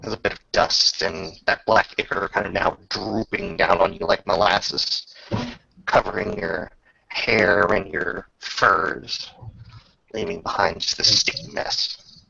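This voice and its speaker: A man narrating a tabletop roleplaying game as game master, descriptive and measured, often pausing mid-sentence. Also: deep voice, fairly even intonation, gravelly, bright, forceful tone. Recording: dull lo-fi recording.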